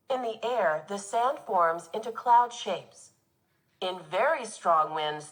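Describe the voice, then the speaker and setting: A woman teacher reading a nonfiction children's book aloud in an expressive, instructive way for young pupils. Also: mid-range voice, low-quality recording.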